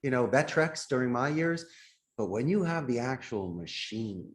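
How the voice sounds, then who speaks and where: A man's voice: mid-range voice, animated, normal speed. A middle-aged man speaking as an interview guest, earnest and emphatic in a conversational way. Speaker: podcast guest, company CEO.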